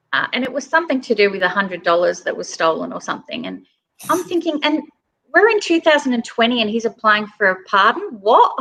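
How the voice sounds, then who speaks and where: A woman guest talks casually and earnestly, in a conversational way. Her voice is bright and forward, sitting at a medium pitch. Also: gravelly. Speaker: guest reader. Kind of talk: casual discussion.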